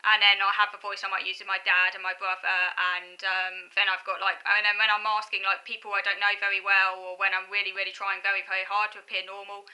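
A young-sounding woman of thirty with a southern English accent and a very bright, forward tone. She talks informally to camera from a room at home, hesitating and circling back as she reflects on herself. Her pitch sits in an ordinary female range. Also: monotone, fast talker, hardly any pauses, rough recording. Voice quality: youthful-sounding. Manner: hesitant, self-reflective, wandering. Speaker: vlogger. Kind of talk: casual personal talk to camera. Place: small furnished room at home, indoors.

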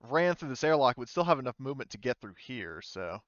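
A man's voice: medium pitch, sing-song, fast, fairly bright tone, dry recording, lo-fi sound. A man running an online tabletop game as game master, talking casually and hesitantly as he thinks aloud.

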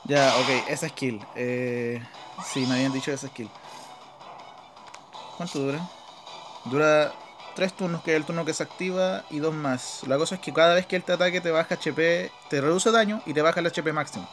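A man's voice: a little high, steady tone, moderate pace. A man with a Chilean Spanish accent giving casual, informal gameplay commentary, thinking aloud as he plays. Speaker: gaming video host. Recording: game music behind the voice. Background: game music.

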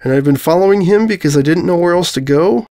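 A man's voice: high voice, animated, fast, dark tone, voice pushed up. A man voicing an awkward, apologetic stallion pony who speaks hesitantly in a comic story. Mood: pleading.

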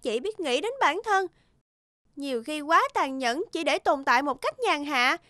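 A woman's voice: high voice, animated, a little slow, bright, forceful tone, dry recording, studio quality, heavily acted. A woman voicing a proud, selfless female silkworm in a cartoon, speaking earnestly and with reproach. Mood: reproachful. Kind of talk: cartoon voice acting.

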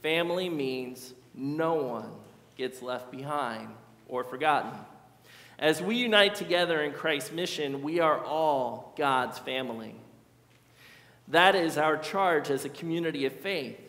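A man's voice: on the high side, expressive, a bit bright. Earnest male pastor in an echoey church. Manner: earnest, measured preaching with slow emphatic repetitions. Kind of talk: sermon. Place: large church sanctuary.

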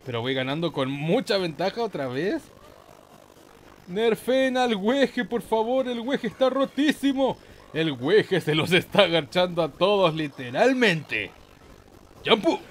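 Higher-voiced man commentating a game. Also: sing-song, measured pace, slight background noise. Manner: slangy, swearing, mock-boastful. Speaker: gameplay host. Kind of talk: casual gaming commentary.

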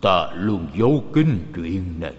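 A man playing a dying old rich father in a dubbed cartoon, solemn, tender and deliberate. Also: sits low, big pitch swings. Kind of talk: dubbed animation acting. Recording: muffled audio with long reverb.

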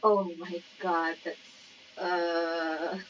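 Dull lo-fi mic, woman streamer, very slow, hesitant casual commentary, faint noise. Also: lowish voice, flat delivery. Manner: rambling, hesitant, thinking aloud. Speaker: game streamer.

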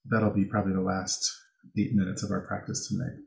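An American man with a fairly low voice teaches a guided meditation. He gives gentle instructions without hurry, leaving pauses between phrases. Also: flat delivery, vocal fry. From Chicago, United States.

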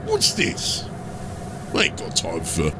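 A man voicing a scheming, brutish ork mechanic in a fantasy audiobook, with a gravelly voice and broken grammar. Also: low-pitched, wildly swooping pitch, noisy background, voice pushed down, full character voice. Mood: gruff, menacing. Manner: slow, broken grammar, mulling aloud.

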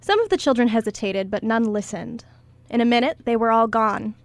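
High-voiced woman narrating a radio story. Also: no room sound.

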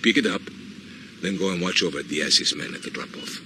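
An actor playing a suave middle-aged colonel with a Latin American accent, florid, theatrical and courteous, in a video game. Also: male voice, low-pitched, lively intonation, moderate pace, background noise. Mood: businesslike, courtly. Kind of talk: video game cutscene acting.

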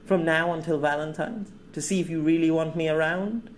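A man's voice: on the high side, fairly even intonation, fairly quick, a little hiss or hum, pitched above the performer's own voice, close to an everyday voice. A man doing a cheeky, playful young woman. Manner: teasing, playful.

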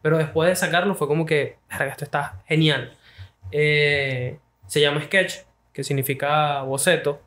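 A man's voice: a little high, steady tone, normal speed, a bit bright. A young Venezuelan man, a guest musician, talking loosely and casually about his work into a studio mic. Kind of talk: casual interview chat.

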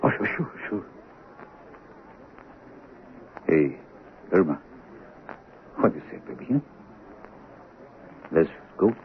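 An actor playing a concerned, gentle, hesitant working man coaxing someone in a 1970s radio drama. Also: sits low, big pitch swings, unhurried, exaggerated voice. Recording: lo-fi noisy vintage radio broadcast.

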